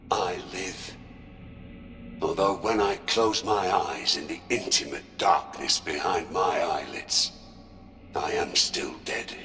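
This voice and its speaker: A grave, devout revenant in a gothic game cutscene, with a very low voice that drags through every solemn, archaic phrase. He sounds tormented and breathy as lines fade, over a soft bed of music. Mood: anguished, brooding. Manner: solemn, archaic, drawn-out delivery. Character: tormented man returned from death, grave and devout. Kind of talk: theatrical video game cutscene acting.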